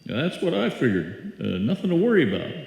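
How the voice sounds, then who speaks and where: A man's voice: medium pitch, sing-song, fairly quick, gravelly, darkish tone. A man playing a folksy, apologetic small-town drugstore clerk in a live old-time radio drama, with hesitant, stammering talk. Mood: sheepish, apologetic. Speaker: amateur radio play actor. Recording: echoey hall, live stage performance.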